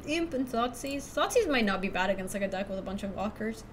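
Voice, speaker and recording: A young woman from Ecuador chatting casually through a card game stream, thinking out loud and laughing often. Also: medium pitch, animated, a little fast, slight background noise. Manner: chatty, giggly, thinks aloud to chat. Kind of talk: casual live-stream commentary.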